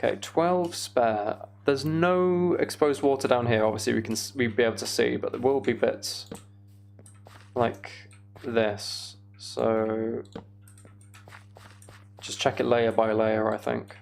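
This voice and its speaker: Creaky-voiced British man, casual streamer. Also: medium pitch, fairly even intonation, slow pace, a little mellow. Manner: rambling, thinking aloud. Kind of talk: casual livestream chat while gaming.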